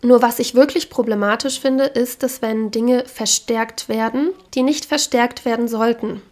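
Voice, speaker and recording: A woman hosting a podcast, speaking frankly and with opinions while hoarse from a cold. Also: fairly high pitch, fairly even intonation, measured pace, darkish tone. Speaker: podcast host. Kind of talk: casual solo podcast talk.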